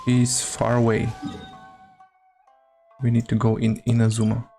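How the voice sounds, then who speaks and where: A man's voice: mid-range voice, monotone, measured pace, mellow, soft background music. A man chatting casually as a live game streamer, hesitant and muttering to himself.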